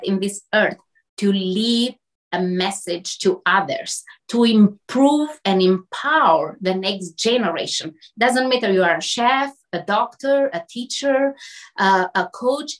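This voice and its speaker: A woman with an Albanian accent speaks at a slow, deliberate pace in a mid-range voice. She talks like a motivational coach being interviewed, earnest and exhorting, in short emphatic phrases. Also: mid-range voice.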